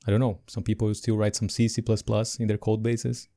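Male podcast co-host, non-native English, mellow tone, animated delivery. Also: on the low side, a little fast, gravelly. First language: not English. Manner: animated, laughs between points. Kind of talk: casual podcast chat.

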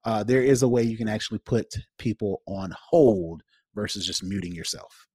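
A man's voice: fairly low pitch, normal speed, warm tone, breathy edge, no room sound, studio quality. A man co-hosting a podcast in casual chat, explaining things informally and circling back to rephrase. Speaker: podcast co-host.

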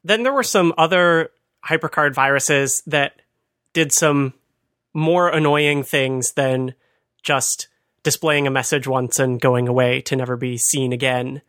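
A man's voice: medium pitch, fairly even intonation, unhurried, a bit bright, dry recording. A man co-hosting a conversational talk, explaining things with dry amusement. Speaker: podcast host. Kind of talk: conversational retro computing commentary.

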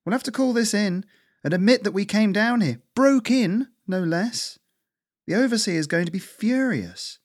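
Man as a older female squirrel, high-pitched, sharp-tongued, exasperated, storybook adventure. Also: animated, moderate pace. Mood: snappy, exasperated.

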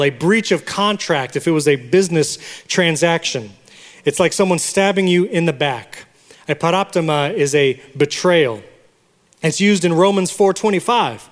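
A man of Puerto Rican origin preaching a sermon as pastor, teaching words and having the congregation repeat them. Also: high voice. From Puerto Rico. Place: church sanctuary. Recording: long reverb of a large hall.

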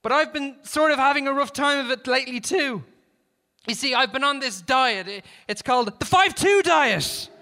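A man's voice: high voice, big pitch swings, very slow, pauses often, sharp tone, slightly rough, voice pushed up, full character voice. A man playing a weary, earnest police negotiator in a comic short story, pleading and calling out across a distance.

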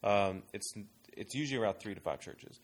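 A man with a fairly low voice talks in a loose, searching way and often restarts his sentences. He speaks as a guest on a casual panel discussion. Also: a little fast. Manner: thoughtful, rambling with restarts. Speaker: ministry worker advising pastors on young adults.

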